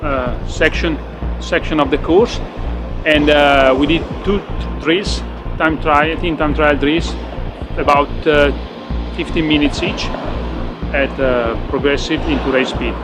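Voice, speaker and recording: A man's voice: a little high, fairly even intonation, a little slow, music in the background. A man, a professional cyclist in an interview, speaking hesitant English with many fillers and non-native phrasing.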